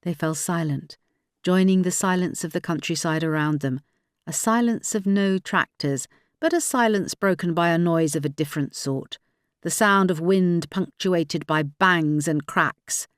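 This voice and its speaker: Low-pitched woman narrating a novel. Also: a little slow, no echo, clean studio sound. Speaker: audiobook narrator. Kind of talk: scripted dramatic fiction narration.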